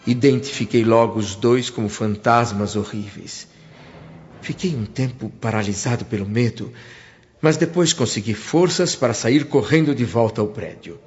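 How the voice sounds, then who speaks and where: A man's voice: medium pitch, moderate pace, darkish tone, in a large hall, natural voice. A Brazilian man acting an earnest, remorseful spirit who tells his afterlife story in a reflective, literary way for a radio drama. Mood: frightened. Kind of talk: radio drama narration and dialogue. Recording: muffled audio with music behind. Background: background music.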